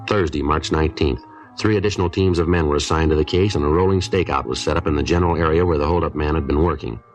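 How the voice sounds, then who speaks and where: A terse American police detective in a 1950s radio drama. His low voice moves quickly through the facts, deadpan and businesslike, on an old recording with faint music behind it. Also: recorded from a distance. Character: terse, matter-of-fact male police detective narrating his case. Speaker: detective narrator and lead.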